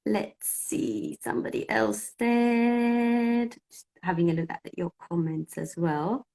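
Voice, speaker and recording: A woman's voice: medium pitch, animated, takes it slowly, creaky, warm tone. A woman from Cyprus whose first language is Greek, an English teacher hosting a casual live-stream chat and thinking aloud as she sorts out technical trouble. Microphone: phone.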